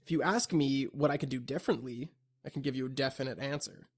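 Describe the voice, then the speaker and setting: A young adult man delivering a scripted, reflective personal monologue in an introspective, deliberate and earnest way. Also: mid-range voice. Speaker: audio log narrator.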